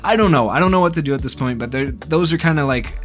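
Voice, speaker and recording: A man's voice: a little high, fast. A man speaking off the cuff as a gaming YouTuber, giving informal, rambling commentary on a game. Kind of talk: casual video commentary on a game. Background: background music.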